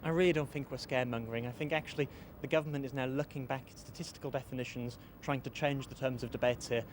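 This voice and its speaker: A man's voice: mid-range voice, steady tone, quick pace, slight background noise. A man arguing his case emphatically in a news interview, repeating his points. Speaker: campaign spokesperson interviewee.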